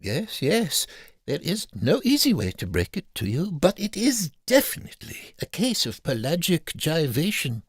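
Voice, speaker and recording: A man's voice: on the high side, huge pitch swings, normal speed, husky, no echo, clean studio sound, exaggerated voice. Man playing a theatrical, solemn period physician. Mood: grave. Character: grave, formal, fussy male Victorian physician.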